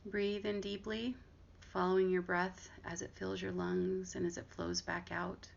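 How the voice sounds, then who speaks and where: Female meditation guide, gentle and unhurried, fairly flat intonation with gravelly fry, muffled lo-fi recording. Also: lowish voice. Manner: gentle, unhurried instruction with long pauses. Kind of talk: guided body scan meditation. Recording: dull lo-fi audio with clear background noise.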